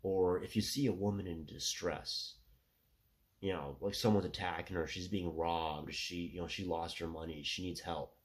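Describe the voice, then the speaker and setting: A man in his thirties talking through a rambling casual monologue with a stuffy nose, filling every pause with "you know" and "right". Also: sits low, steady tone. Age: 30s. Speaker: solo commentator.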